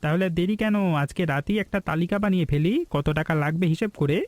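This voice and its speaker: A man's voice: a little high, fast, darkish tone, a little hiss or hum, lightly acted. A man playing an eager, ambitious village business partner in a cartoon story, persuasive and respectful. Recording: background music throughout.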